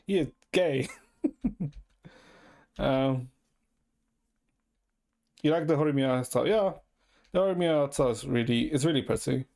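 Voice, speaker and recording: A man's voice: medium pitch, measured pace, vocal fry, long pauses, darkish tone. A man from Ecuador chatting casually to his live stream viewers in a rambling, informal way, swearing freely. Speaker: livestreamer. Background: music.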